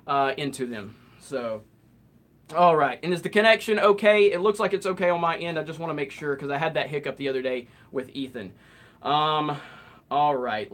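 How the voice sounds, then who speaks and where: A man in his late thirties hosting a live Q&A stream, reading out viewer comments and answering in a relaxed, rambling way. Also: on the high side. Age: 30s. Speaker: host.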